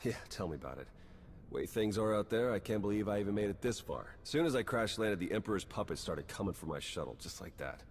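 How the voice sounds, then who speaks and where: A battle-worn spy in a sci-fi game. His voice is low and casual, with wry clipped phrasing, set in long reverb with slight ambient noise. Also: lively intonation, fast. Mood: wry, weary. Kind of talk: dramatic video game dialogue.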